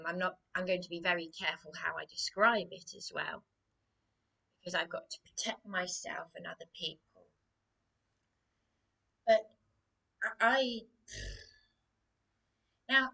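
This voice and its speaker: A woman talks slowly to her camera with a hoarse voice that keeps sinking into a whisper. She stops and restarts her sentences, distressed and searching for words. Her pitch sits somewhat low. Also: pushed and bright, low-quality recording. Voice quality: hoarse, often dropping to a whisper. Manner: distressed, hesitant, halting with many restarts. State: losing her voice, coughing. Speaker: vlogger. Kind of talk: personal vlog monologue.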